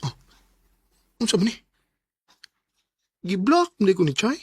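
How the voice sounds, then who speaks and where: High-voiced, remorseful young Cebuano boyfriend. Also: male voice, very animated, slow, a little mellow, husky, no echo, heavily acted. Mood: regretful. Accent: Cebuano Filipino. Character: remorseful unfaithful young boyfriend telling his story. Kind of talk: Bisaya radio drama acting.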